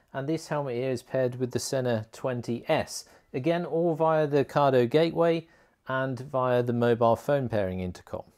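A man presents a hands-on gear tutorial as the host of a motorcycle video. His voice sits at a middling pitch, and he explains each step informally. His phrasing is chatty and colloquial. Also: darkish tone. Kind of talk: informal product tutorial narration.

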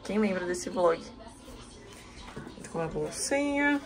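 A woman's voice: lowish voice, expressive, slow, music in the background. Chatty woman in her thirties vlogging. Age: 30s. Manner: chatty, exclaiming, addresses viewers. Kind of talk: casual vlog chat to camera. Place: hotel room. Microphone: handheld camera.

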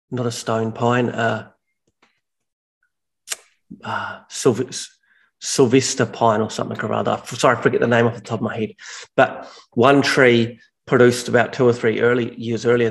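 A man talks through a video call from a large, bare workshop room. His pitch sits in an ordinary middle range, and he wanders through his explanations, restarting and correcting himself as he goes. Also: creaky. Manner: rambling, self-correcting explanations. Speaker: mushroom farmer guest. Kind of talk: casual video call chat. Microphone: video call, headphones on.

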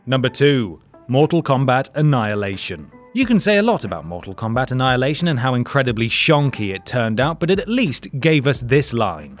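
A man's voice: mid-range voice, sing-song, fairly quick. A man with a British accent reading a scripted countdown as host, sardonic and mock-dramatic with dry asides. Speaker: video host narrator. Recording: voice-over with music behind. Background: music.

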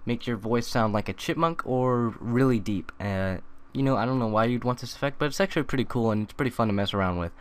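A young man talking through a software tutorial in a loose, rambling way, full of ums and uhs. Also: medium pitch, fast, clear, resonant tone. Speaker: tutorial maker. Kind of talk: casual screen-recorded tutorial.

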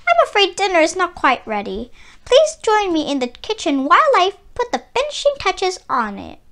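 A woman reads the part of a genteel storybook lady stork, high and sing-song. She is sweet and courteous, with quiet shrewdness beneath the good manners. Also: huge pitch swings, moderate pace, full character voice. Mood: sweetly polite.

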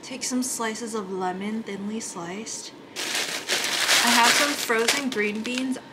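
A woman's voice: fairly high pitch, slow pace, heavy background noise. A young woman in a casual cooking vlog, talking through each step as she cooks in a small apartment kitchen. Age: young adult. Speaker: vlogger.

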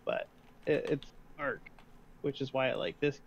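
A man talking slowly and thoughtfully with teammates over voice chat while playing a game. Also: medium pitch, animated, gravelly, highs cut off. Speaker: fellow player on voice chat. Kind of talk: casual voice chat.

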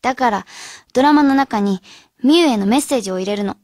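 A woman's voice: fairly high pitch, fast talker, darkish tone, no echo, studio quality, lightly acted. A woman acting as an earnest teenage schoolgirl who leads her club friends in a radio drama. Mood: determined.

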